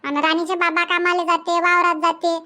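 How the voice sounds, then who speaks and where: A woman's voice: very high voice, flat delivery, normal speed, sharp tone. A woman voicing a talkative, tired young village mother in a cartoon comedy, with a Varhadi Marathi accent. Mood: chatty, explaining. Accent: Varhadi Marathi from Vidarbha. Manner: talkative, explains at length.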